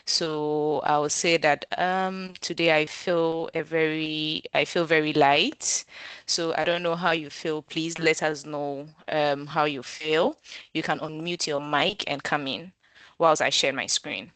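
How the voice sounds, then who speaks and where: A woman facilitating an online workshop over a video call, measured and full of um fillers. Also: sits low, fairly even intonation, a bit bright, clear, resonant tone, dry recording.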